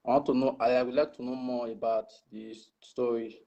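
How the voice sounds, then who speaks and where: A man with a Nigerian accent joining a live stream by video call to ask a question, halting and full of fillers. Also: mid-range voice, little pitch movement, creaky, warm tone, muffled recording.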